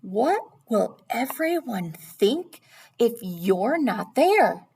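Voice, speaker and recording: Woman playing a patient dad, picture-book read-aloud, coaxing, firm, emphatic, lively intonation. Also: a little high, drawn-out words, gravelly, slightly rough, voice pushed up, exaggerated voice. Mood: reassuring, persuasive.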